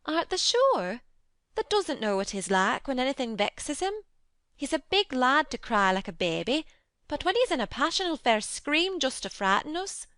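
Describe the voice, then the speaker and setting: A woman voicing a flustered young Yorkshire maid, kindly and fearful, speaking broad dialect in a high, anxious voice. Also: sing-song, moderate pace, a bit bright, no room sound, clean studio sound.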